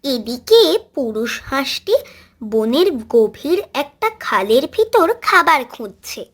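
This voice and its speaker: A woman's voice: sits high, sing-song, slow, fairly bright tone. A woman narrating a scripted cartoon story as a storyteller.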